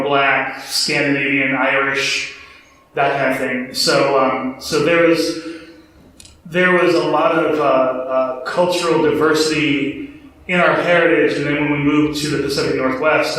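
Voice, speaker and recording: A man from Chicago introducing himself as an illustrator on a panel, hesitant and low-key. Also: a bit bright, a little hiss or hum. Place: large convention room. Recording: echoey room, far from the mic.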